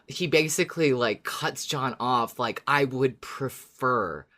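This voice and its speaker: Very low-voiced, effusive woman co-host. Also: takes it slowly, a bit bright. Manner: effusive, agreeing eagerly. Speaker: podcast co-host. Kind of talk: casual fan discussion.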